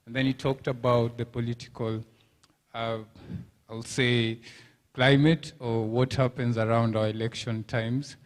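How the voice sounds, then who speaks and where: A man's voice: medium pitch, little pitch movement, measured pace. A man from Kenya in the audience, asking a thoughtful question and searching for his words. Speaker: audience member.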